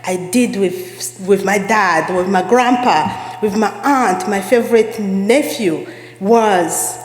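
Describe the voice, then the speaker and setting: A woman from Rwanda preaches as a guest speaker, earnest and repeating key phrases to drive them home. Her voice sits at a middle pitch and rings through a large church hall with a long reverb. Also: slow, faint music behind. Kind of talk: sermon. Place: church sanctuary.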